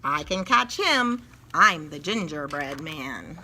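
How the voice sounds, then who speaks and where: A woman's voice: sits low, lively intonation, slow, bright, forceful tone, slight background noise, voice pushed down, exaggerated voice. A woman voicing an eager, plucky young gingerbread man, earnest and dramatic in rhyme, for a children's storybook. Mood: determined.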